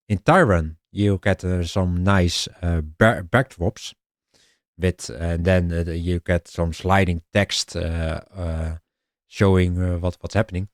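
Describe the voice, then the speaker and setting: A man with a Dutch accent pitching his indie game idea in a casual vlog, halting and restarting often and clearing his throat. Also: a little mellow, dry recording, studio quality. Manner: halting, many restarts and filler sounds.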